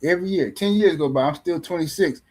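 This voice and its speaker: Male stream host, slightly high-pitched, loose teasing delivery, rambling casual talk. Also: fast talker, darkish tone. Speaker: boxing livestream host. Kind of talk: casual live-stream commentary.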